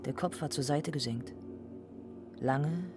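A man's voice: on the high side, takes it slowly, music in the background. A man narrating a literary radio play in a scripted storytelling style.

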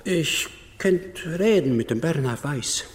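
Caring older uncle for radio drama: singsong, lively, slightly high, in a roomy echo. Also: male voice, takes it slowly. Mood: wry, concerned. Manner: singsong, Yiddish-style word order. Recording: echoey reverberant church hall.

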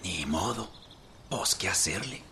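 An actor playing a deferential, resigned revolutionary soldier in a radio drama, with a folksy Mexican accent. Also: male voice, sits low, animated, slow pace, over loud music, full character voice. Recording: far from mic, noisy birdsong ambience.

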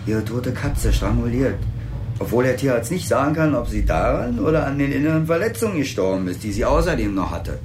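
Sardonic small-town cop, colloquial and teasing, animated intonation, radio drama with clearly noisy ambience. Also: male voice, medium pitch, a little fast. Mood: dry, sardonic. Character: sardonic, gossipy small-town police officer. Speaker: police officer. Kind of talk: naturalistic radio drama dialogue.

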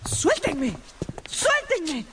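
An actress playing a seductive, scheming and vengeful noblewoman in a theatrical, drawn-out radio drama style. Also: extremely high pitch, big pitch swings, a loud crowd, heavily acted. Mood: furious. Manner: theatrical, drawn-out, mocking and pleading.

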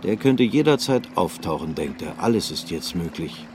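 A man narrating a literary radio drama in a measured, unhurried way. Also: low-pitched, expressive, background noise.

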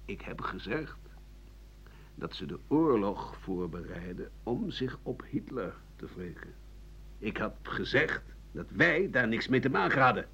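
An actor playing a bitter old French doctor-writer in a radio play, rambling through a sardonic, coarse, self-justifying monologue in a husky voice. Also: male voice, fairly low pitch, big pitch swings, unhurried, sharp tone, a little hiss or hum, heavily acted. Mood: defiant. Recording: dull lo-fi 1980 radio recording.

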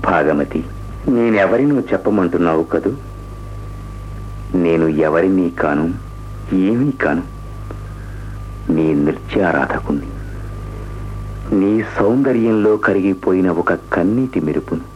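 Romantic radio lead: deep, declamatory, poetic prince in love, with clear music underneath. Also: male voice, animated, a little slow, pauses often, highs cut off. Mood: adoring. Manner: declamatory, tender, florid. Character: ardent, poetic male royal lover. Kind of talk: romantic radio play acting.

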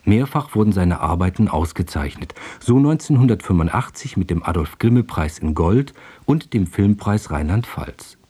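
A man's voice: sits low, expressive, moderate pace, few pauses, dark tone, breathy edge. A man working as a radio announcer, introducing a play in a formal scripted presentation.

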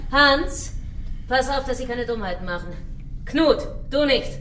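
Hard gang woman, high voice, lively pitch, cutting and commanding, radio drama. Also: a little slow, distant mic, slight background noise. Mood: callous impatience. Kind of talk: German radio drama acting.

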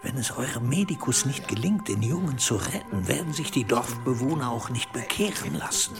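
An actor playing a stern, scheming high-ranking medieval churchman in a German radio drama, measured and commanding. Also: animated, over music, close to an everyday voice. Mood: calculating, insistent.

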